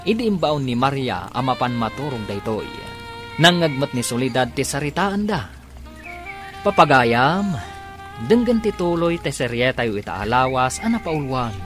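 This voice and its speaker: Animated male radio drama announcer over music. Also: mid-range voice, normal speed. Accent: Filipino (Ilocano). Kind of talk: scripted dramatic radio narration. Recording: music bed behind voice. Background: background music.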